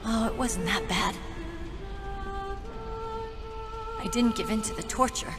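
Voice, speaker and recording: A woman's voice: fairly high pitch, fairly quick, close to an everyday voice. An actress playing a tough yet tender young female soldier in a video game, with an earnest, dramatic delivery. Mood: defiant. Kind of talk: video game cutscene acting. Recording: long reverb under loud music.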